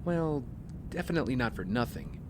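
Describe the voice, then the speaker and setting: An actor playing a wealthy young heir, polite, smooth-talking and guarded, in audio drama dialogue. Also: male voice, mid-range voice, animated, a little fast, background noise, natural voice. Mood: earnest, persuasive.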